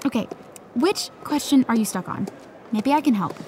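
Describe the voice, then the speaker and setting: A woman's voice: a little high, animated, normal speed, background noise, close to an everyday voice. A woman playing a teasing, pushy but supportive teenage best friend in naturalistic podcast drama, speaking emphatically. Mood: encouraging. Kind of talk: naturalistic fiction podcast acting.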